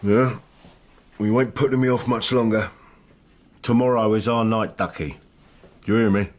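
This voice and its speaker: A man's voice: fairly low pitch, fairly even intonation, normal speed, close to an everyday voice. A man playing a coarse, menacing, domineering husband in a radio drama. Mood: gruff, demanding. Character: bullying, coarse working-class husband, menacing and demanding.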